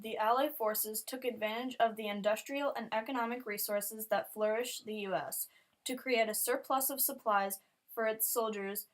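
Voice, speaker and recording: A woman's voice: mid-range voice, low-quality recording. A woman narrating a history newsreel from a prepared script.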